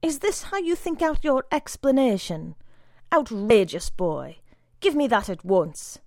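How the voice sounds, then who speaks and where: A harsh, commanding religious brother barks his reproaches, voiced by a woman narrator. His delivery is loud and slow, and the recording is dry and close. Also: on the high side, sing-song, dry recording, pitched above the performer's own voice. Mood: scolding.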